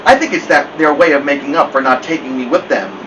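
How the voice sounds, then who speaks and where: A man's voice: a little high, fast talker, close to an everyday voice. Man reading as wry old family dog, muffled recording. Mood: wry, reflective. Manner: wry, digressive, formal wording. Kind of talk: first-person storybook narration. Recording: muffled, distant, noisy room hum.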